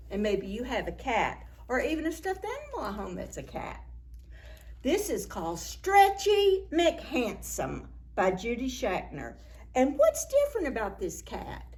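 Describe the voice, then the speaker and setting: Animated woman reading aloud to preschoolers. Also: medium pitch. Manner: expressive, with asides and questions to young listeners. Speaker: children's librarian, story time host. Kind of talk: read-aloud picture book storytelling for preschoolers.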